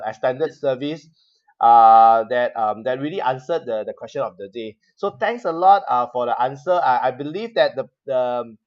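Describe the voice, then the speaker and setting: Man, talk show host, hesitant, repeats words, many fillers, dull lo-fi recording. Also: medium pitch. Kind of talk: live online talk show.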